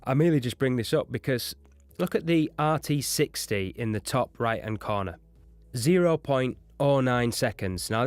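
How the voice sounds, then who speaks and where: A man's voice: medium pitch, dry recording. A man narrating an explanatory lesson as an audio engineering instructor.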